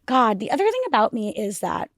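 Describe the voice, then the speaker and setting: A young woman with a raspy voice co-hosting a casual podcast, rambling with restarts and lots of "like". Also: fairly high pitch, very animated, a little fast, no room sound, clean studio sound. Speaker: podcast co-host. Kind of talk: casual chat.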